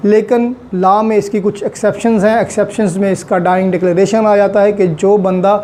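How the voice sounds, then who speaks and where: A man's voice: sits high, flat delivery, a little fast, darkish tone, slight background noise. A man giving an online law lecture, patiently explaining step by step with examples and English legal terms. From Pakistan.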